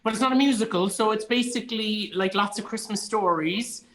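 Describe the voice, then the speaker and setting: A man's voice: high voice, brisk, fairly bright tone, low-quality recording. A man with an Irish accent chatting casually and enthusiastically as a guest in an online conversation, speaking from a studio. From Cork, Ireland. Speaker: guest, actor and theatre producer. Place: indoors in a studio.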